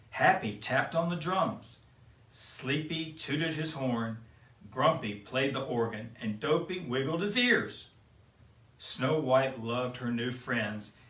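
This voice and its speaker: A man reading a children's picture book aloud in a gentle storytelling way. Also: medium pitch. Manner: slow, gentle read-aloud. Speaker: storyteller for kids. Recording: roomy, mic at a distance.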